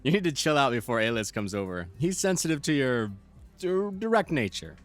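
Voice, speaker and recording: An actor as a wisecracking, animated young gang guy. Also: mid-range voice, a little fast, natural voice. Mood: teasing. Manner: teasing, casual banter. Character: wisecracking, easygoing city gang member, teasing old friend. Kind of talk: casual audio drama dialogue.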